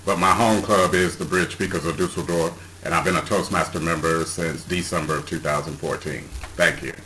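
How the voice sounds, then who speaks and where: An American man speaks in a very deep voice with a bright, forceful tone. He is brisk and matter-of-fact, with faint noise behind him. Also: fairly even intonation, rough recording. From United States. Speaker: guest introducing himself.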